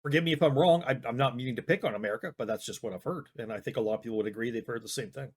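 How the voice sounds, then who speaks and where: A man's voice: medium pitch, racing, slightly rough. A man giving casual reaction commentary, rambling and hedging with frequent restarts. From Canada. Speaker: YouTube commentator.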